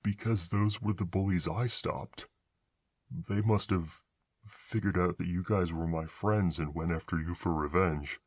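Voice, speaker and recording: A man playing a gentle, anxious young monster student in an audio roleplay, earnest and apologetic. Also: low voice, fairly even intonation, measured pace, husky, dry recording. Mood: anxious, guilty.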